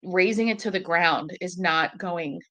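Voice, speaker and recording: A woman's voice: on the low side, measured pace, bright, forceful tone, poor audio. A woman from Egypt speaking as a panelist, impassioned and searching for words. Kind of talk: panel discussion.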